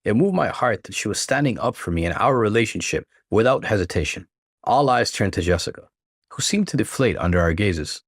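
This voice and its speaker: A man reading a first-person story as narrator, in an expressive, emphatic and dramatic manner. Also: fairly low pitch, fairly quick, dry recording, clean studio sound.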